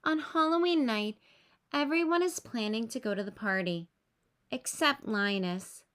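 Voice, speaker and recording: A woman reading a children's story aloud with expressive narration aimed at young listeners. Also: fairly high pitch, measured pace, smooth. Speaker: storybook reader.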